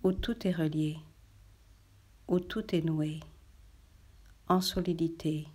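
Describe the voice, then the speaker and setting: A woman poet reading poetry aloud in a measured, solemn literary style, with deliberate, short, separated phrases. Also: sits low, fairly even intonation, darkish tone, slightly rough.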